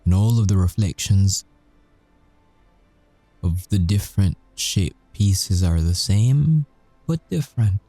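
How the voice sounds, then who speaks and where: Man acting a slow, deep, brooding werewolf ex-agent. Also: mellow, no echo, faint music behind, exaggerated voice. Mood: grave, confessional. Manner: halting, brooding, with deliberate pauses. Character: weary, flirtatious male werewolf ex-agent, confined and confessing. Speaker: audio roleplay performer. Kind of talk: intimate ASMR audio roleplay monologue.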